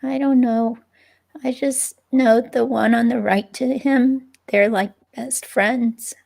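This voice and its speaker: A woman playing a halting, creaky-voiced young ex-girlfriend. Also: fairly high pitch, steady tone, darkish tone, exaggerated voice. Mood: reflective, unsure. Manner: halting, hesitant, filler-laden delivery. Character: hesitant young ex-girlfriend giving a police statement, uneasy. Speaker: reader playing an interviewee. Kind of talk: dramatic reading of police interview transcript.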